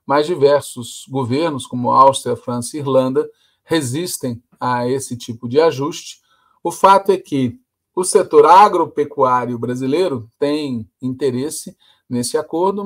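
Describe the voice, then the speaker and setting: Older man giving firm political commentary. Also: medium pitch. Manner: firm, opinionated delivery. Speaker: host and commentator. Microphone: video call webcam.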